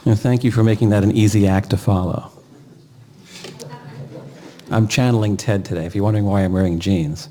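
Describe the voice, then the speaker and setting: An older man delivering a eulogy, partly read aloud, halting and pausing between short phrases. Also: fairly low pitch, steady tone, warm tone. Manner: halting, with pauses. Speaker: eulogist, brother of the deceased.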